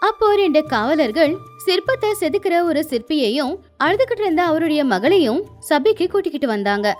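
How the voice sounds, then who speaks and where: A woman narrating a scripted moral story expressively. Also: sits high. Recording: music behind the voice.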